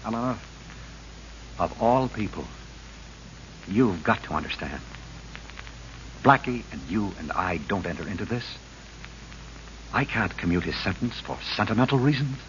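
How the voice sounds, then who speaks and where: An American man acting a principled, troubled governor in an old radio drama, formal and measured in his delivery. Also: fairly low pitch, lively intonation, lightly acted. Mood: defensive, troubled. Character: principled, troubled state governor, rigid about duty. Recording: muffled, noisy vintage radio recording.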